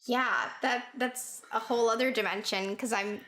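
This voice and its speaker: Woman born in Sudan, podcast co-host, gravelly fry, earnest and conversational. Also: a little high, steady tone, moderate pace, sharp tone. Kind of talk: casual chat.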